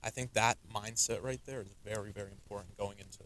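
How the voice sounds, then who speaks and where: A man's voice: lowish voice, fast talker. A young man, a podcast guest with Russian as his first language, talking earnestly and casually with many "you know"s. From United States. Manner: earnest, animated, frequent fillers like "you know". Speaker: podcast guest, musician and entrepreneur. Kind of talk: casual interview talk.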